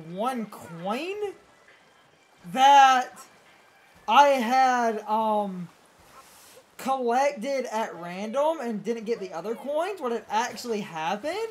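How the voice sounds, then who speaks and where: A young woman co-hosting a let's play with casual, animated gaming commentary. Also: fairly high pitch. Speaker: let's play co-host and editor. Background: video game music.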